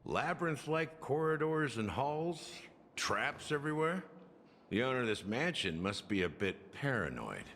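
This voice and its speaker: A man voicing a cynical, weary, rough-edged security officer in a video game, with a sardonic, theatrical delivery. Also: medium pitch, sing-song, unhurried, a bit bright, slight background noise. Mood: wry.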